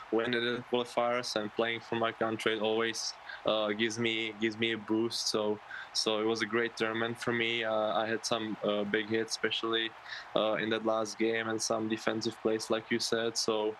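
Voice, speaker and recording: A man's voice: fairly low pitch, little pitch movement, moderate pace, a bit bright, slight background noise, low-quality recording. A man in his twenties with a Czech accent, a native Czech speaker, answering interview questions as a college athlete in a hesitant way. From Czech Republic. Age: 20s. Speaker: college baseball player, interview guest.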